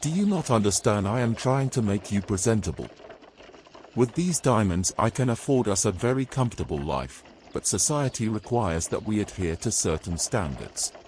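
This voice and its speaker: A man's voice: lowish voice, very animated, normal speed, darkish tone, a little hiss or hum. A man playing a domineering, scornful knight in a fantasy audio drama, speaking in pompous, old-fashioned phrases. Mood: scolding.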